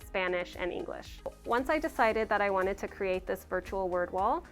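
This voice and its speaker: Woman teacher, documentary interview, brisk and eager, faint music bed. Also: medium pitch, expressive. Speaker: elementary school teacher.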